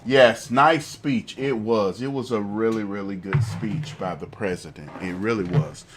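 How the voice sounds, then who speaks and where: A man's voice: fairly low pitch, a little slow, faint music behind. A man hosting a political talk show with a lingering cough, repeating himself as his commentary builds into heated indignation. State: fighting a cough.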